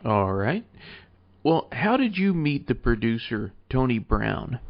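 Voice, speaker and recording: A man's voice: medium pitch, very animated, slow pace, muffled recording, a little hiss or hum. A man hosting an interview, giving a formal introduction and putting prepared questions to his guest. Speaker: interview host.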